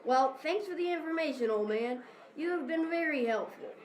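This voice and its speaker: A woman's voice: sits high, expressive, moderate pace, echoey room, a crowd in the background, rough recording. A woman playing an earnest, inquisitive detective in an amateur audio drama. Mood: businesslike.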